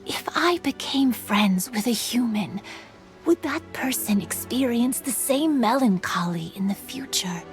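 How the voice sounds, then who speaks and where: A woman playing a gentle, wistful young female spirit in human form in an anime game, speaking softly, hesitantly and sincerely. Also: on the high side, lively intonation. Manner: soft, hesitant, sincere, with breathy pauses. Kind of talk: anime game voice acting, dramatic monologue. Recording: soft music behind the voice.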